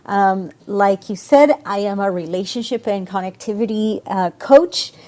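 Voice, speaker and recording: A woman in her forties from Argentina, speaking with an Argentine Spanish accent, a relationship coach telling her story in an earnest, animated way with fillers. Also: mid-range voice, measured pace. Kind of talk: casual interview talk.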